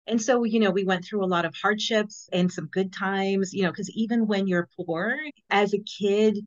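A woman's voice: mid-range voice, steady tone, normal speed, lo-fi sound. A woman in her fifties from Los Angeles, born in El Salvador, telling her own story casually as a podcast guest, often dropping in "you know". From born in El Salvador, grew up in Los Angeles. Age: 50s. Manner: conversational, peppered with "you know".

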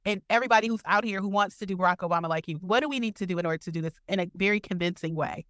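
A woman's voice: medium pitch, rapid-fire, fairly bright tone, dry recording. Playful female interviewer, close dry sound. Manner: playful, reacts with exclamations. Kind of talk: casual entertainment interview.

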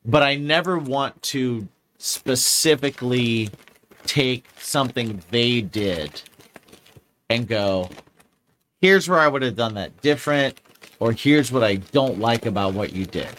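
A man's voice: medium pitch, lively intonation, slow pace, fairly bright tone, slight background noise. A man co-hosting a podcast in casual chat, rambling and restarting his sentences and swearing freely. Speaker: podcast co-host.

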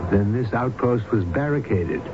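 An actor playing a composed doctor who questions a patient under hypnosis, measured, polite and persistent, in radio drama style. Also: male voice, mid-range voice, animated, lightly acted. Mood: curious, probing. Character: composed, probing male doctor conducting a hypnosis session. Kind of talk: 1970s American radio drama acting. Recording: very noisy, dull old radio broadcast recording.

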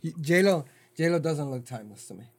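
A man co-hosting a podcast, chatting casually and joking, with animated swings into high mock voices. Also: moderate pace, dry recording. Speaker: podcast co-host. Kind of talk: casual banter.

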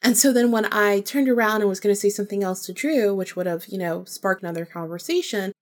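A woman's voice: mid-range voice, brisk. A woman hosting a podcast, telling personal stories in an informal, conversational way and acting out bits of dialogue as she goes. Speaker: podcast host. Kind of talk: casual personal storytelling about spirits.

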